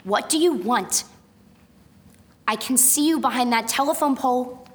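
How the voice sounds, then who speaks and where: Woman as high-voiced prickly girl inventor. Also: measured pace, natural voice. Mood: wary, prickly. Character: precocious, prickly young girl inventor, excitable and proud. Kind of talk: radio drama acting. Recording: reverberant student radio drama recording.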